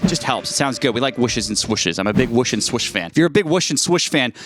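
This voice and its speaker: A man's voice: mid-range voice, animated, fast. A man in his thirties narrating a video in a jokey, self-deprecating way, with casual asides, close on a handheld mic. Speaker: YouTube host, game sound designer. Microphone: handheld microphone held near the mouth. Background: faint music.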